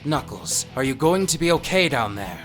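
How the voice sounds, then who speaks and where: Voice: medium pitch, very animated, normal speed, a bit bright, soft background music. An actor voicing a stern, serious male antihero with a terse, brooding manner in a fan audio drama. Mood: commanding. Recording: roomy, echoing voice track.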